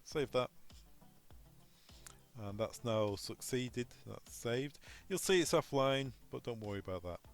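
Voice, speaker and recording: A man's voice: medium pitch, expressive, a little slow, clear, resonant tone, soft background music. A man presenting an unscripted technical tutorial, informally talking through each step aloud as he goes. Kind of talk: unscripted step-by-step software walkthrough.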